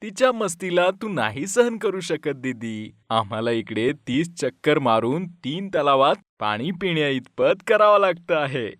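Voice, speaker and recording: Man acting an exasperated father, fairly high-voiced. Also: animated, a little slow, a bit bright, no room sound, clean studio sound. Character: exasperated farmer father, talking to his elder sister. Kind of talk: animated moral story voice acting.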